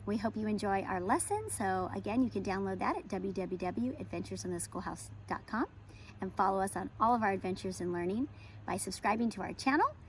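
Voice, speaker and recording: An American woman hosting a homeschool lesson and children's read-aloud, with expressive, emphatic storybook phrasing. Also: medium pitch, brisk, a little hiss or hum. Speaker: homeschool educator and channel host.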